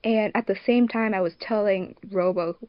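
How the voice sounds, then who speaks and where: A woman's voice: mid-range voice, fairly even intonation, moderate pace, no echo, dull audio. A woman co-hosting a podcast in an informal, giggly, slang-filled way, chatting casually over a remote voice call. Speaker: podcast co-host, fandub production crew member and editor.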